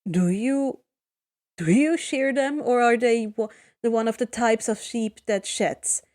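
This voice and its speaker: Woman playing a wary sea captain guy in a fanfic reading, halting and stumbly, fairly high and slow. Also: darkish tone, lightly acted. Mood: hesitant. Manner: hesitant, halting speech. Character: cautious, wary adult male ship captain.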